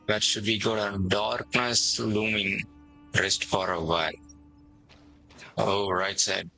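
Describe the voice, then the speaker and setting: A man's voice: on the low side, flat delivery, slow pace, vocal fry, sharp tone, faint music behind, low-quality recording. A man muttering sparse, short remarks while playing a game.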